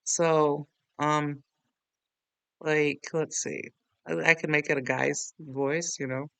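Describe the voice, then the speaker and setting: A man with a fairly high, clear voice walks through software as a tutorial host. He talks casually and rambles, often poking fun at himself. The sound is dry, with no room on it. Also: little pitch movement, takes it slowly, dry recording. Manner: rambling, chatty, self-deprecating.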